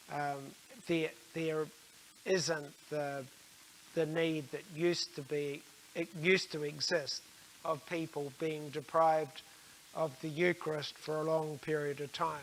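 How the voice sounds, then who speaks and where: An older man giving an explanatory religious talk in a measured, halting way, with frequent pauses mid-sentence. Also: on the high side, fairly bright tone, slight background noise, low-quality recording.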